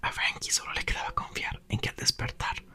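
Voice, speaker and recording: A man softly whispering a novel aloud in an ASMR reading, close to the mic. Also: brisk. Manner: soft whisper, occasional self-corrections. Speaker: ASMR reader.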